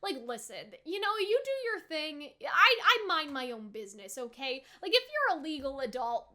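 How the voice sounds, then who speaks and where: A young woman gives a casual, animated reaction and review, rambling and peppering her talk with "like" and "right?". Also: high-pitched, normal speed. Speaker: anime reaction YouTuber.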